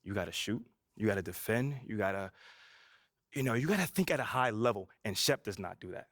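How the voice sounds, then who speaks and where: A brash young basketball rookie. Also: male voice, fairly low pitch, animated, a little fast, a bit bright, dry recording, clean studio sound. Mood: cocky. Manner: laid-back, brash, peppers speech with "you know". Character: confident young male pro basketball rookie. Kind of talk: video game cutscene voice acting.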